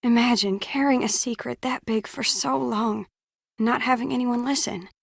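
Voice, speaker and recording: A troubled young woman in a mystery game, with a fairly high voice. She is earnest and urgent, pleading as she confides. The sound is close and dry. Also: moderate pace, creaky, slightly rough, no room sound. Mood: grave confiding. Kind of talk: scripted game voice acting.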